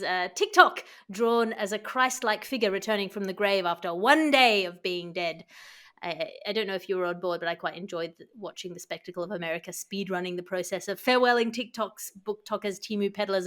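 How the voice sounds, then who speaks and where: A woman's voice: on the low side, a bit bright. A woman hosting a satirical news podcast, speaking in a wry, wordy, ironic way between script and banter. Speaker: podcast host.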